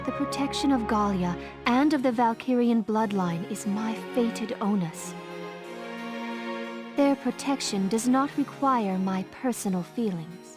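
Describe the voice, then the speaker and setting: A woman voices a young game princess with a high, reserved voice. She speaks in stately, formal phrases, solemn and bound by duty, over clear music. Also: a little slow, natural voice. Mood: resigned, dutiful. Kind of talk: video game cutscene acting.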